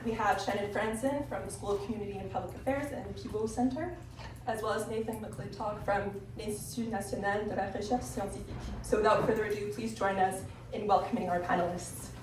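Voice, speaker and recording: A woman host reading formal introductions for a panel in a steady voice. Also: medium pitch, brisk. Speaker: event host. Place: crowded hall. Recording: echoey room, distant mic, noise.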